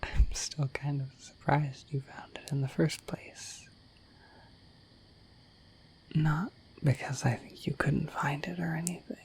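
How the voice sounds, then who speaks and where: A woman voicing a shy, affectionate, dorky dragon in a bashful, hesitant breathy whisper for an ASMR roleplay. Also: deep voice, darkish tone, exaggerated voice, poor audio. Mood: bashful, apologetic. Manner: bashful, hesitant, apologetic, rambling.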